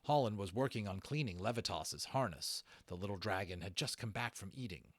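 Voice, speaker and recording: A man narrating a fantasy audiobook in an animated storytelling style, with informal asides. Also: fairly low pitch, brisk, no room sound.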